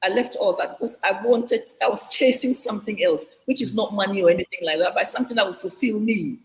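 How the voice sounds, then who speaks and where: A woman's voice: mid-range voice, fast, big-room sound, slight background noise, low-quality recording. An older woman from Ghana, talking earnestly and reflectively as an interview guest over a video call, often restarting her sentences. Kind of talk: casual interview answers.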